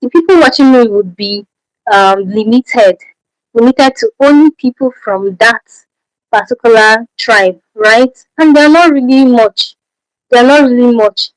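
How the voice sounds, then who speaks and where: A woman's voice: a little high, no echo, low-quality recording. A Nigerian woman whose first language is Efik, hosting a livestream Q&A and giving advice. She repeats her key points and keeps checking in with rhetorical questions. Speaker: channel host.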